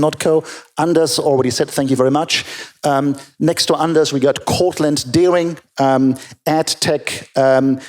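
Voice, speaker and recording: Man, panel moderator, mid-pitched voice, measured, some ums. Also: a little mellow. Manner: measured, occasional fillers.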